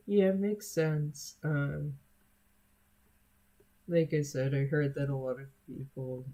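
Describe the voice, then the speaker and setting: Slow, gravelly young streamer chatting. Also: medium pitch, steady tone, warm tone. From United States. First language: Spanish. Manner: unhurried and hesitant, many fillers and restarts. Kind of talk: casual stream chat answering viewers.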